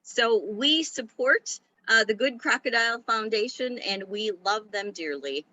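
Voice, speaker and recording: A woman's voice: on the high side, expressive, unhurried, bright, forceful tone, dry recording, rough recording. A woman deacon from Minnesota, effusive and cheerful as she introduces herself on a video call. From Minnesota, USA.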